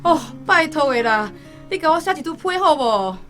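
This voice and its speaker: A woman with a Taiwanese accent playing a distraught, scolding village mother in a radio drama, loudly wailing her complaints. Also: high-pitched, very animated, normal speed, faint music behind, full character voice. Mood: wailing, exasperated.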